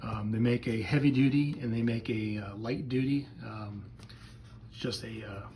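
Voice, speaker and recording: A man's voice: medium pitch, steady tone, normal speed, darkish tone, slight background noise. A man giving a casual, unscripted hobby product demo in a hesitant, rambling, plain-spoken way. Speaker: hobbyist video presenter.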